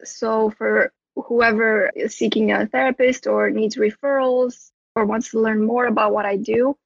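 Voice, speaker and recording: A woman's voice: fairly high pitch, steady tone, a little slow, gravelly, husky, no room sound, dull audio. A woman, a therapist talking as a guest in an interview, rambling with many restarts. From Romania. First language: Romanian. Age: 30s. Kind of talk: conversational interview.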